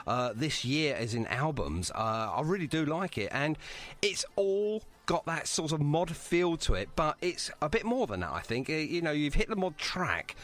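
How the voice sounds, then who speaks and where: A man's voice: on the high side, very animated, moderate pace, a bit bright. A man hosting a music podcast, chatting casually and asking rambling questions with plenty of affirmation. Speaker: podcast host interviewing a band.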